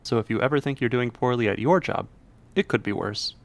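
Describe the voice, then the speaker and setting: Quick, gravelly-voiced male co-host. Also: mid-range voice. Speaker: podcast co-host.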